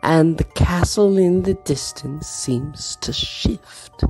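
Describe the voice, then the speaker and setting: Very slow, suspenseful male narrator. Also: on the high side, a little mellow, husky. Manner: slow, suspenseful, drawn-out phrases. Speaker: game master narrator. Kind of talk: dramatic scripted fantasy-horror narration. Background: background music.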